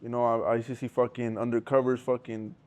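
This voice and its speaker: Fast-talking young man, profane street slang. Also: mid-range voice, flat delivery, darkish tone. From Southern California. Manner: rambling, profane, street slang, restarts often. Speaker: interview guest. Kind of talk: casual storytelling with heavy slang.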